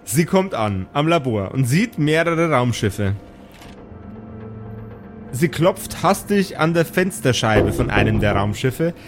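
A man's voice: medium pitch, very animated, takes it slowly, over music. A man improvising narration as the game master of a tabletop role-playing audio play.

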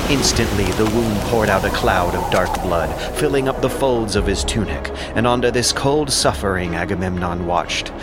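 A man narrating an ancient epic as a scripted audio drama, solemn and declamatory. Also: fairly low pitch.

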